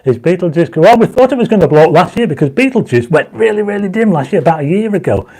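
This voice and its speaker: A man's voice: high-pitched, big pitch swings, quick pace, dark tone. Man hosting a chatty livestream. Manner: reads viewer comments aloud, urges likes; enthusiastic when explaining stars. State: dry mouth, voice going croaky. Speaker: livestream host. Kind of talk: casual livestream chat. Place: home astronomy studio.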